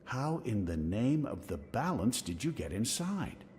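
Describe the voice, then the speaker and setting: A man's voice: medium pitch, big pitch swings, measured pace, a little mellow, slight background noise. An actor playing a scholarly, curious, dry-witted older man in a video game, musing in a bookish way. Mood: astonished. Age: middle-aged. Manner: bookish, musing, exclaiming over finds. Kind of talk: video game dialogue acting.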